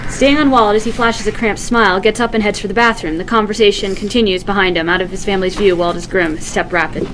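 A woman's voice: medium pitch, steady tone, fast talker, fairly bright tone, poor audio. Woman reading screenplay directions, slight noise. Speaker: script narrator. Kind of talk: scripted narration of stage directions. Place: large meeting room at a table read.

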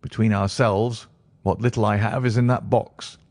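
A man's voice: on the low side, fairly even intonation, normal speed, vocal fry, a little mellow, no echo. A man voicing a jittery, self-important fat man in a reedy, quavering, fussy voice for an audiobook. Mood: nervous, fussy. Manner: nervous, fussy. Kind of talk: audiobook character acting.

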